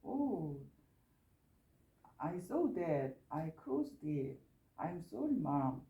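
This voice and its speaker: A woman's voice: low voice, very animated, drawn-out words, phone-quality sound. A woman with a Korean accent, an adult learner hesitantly reciting an English dialogue and stumbling over words. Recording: echoey room, faint noise.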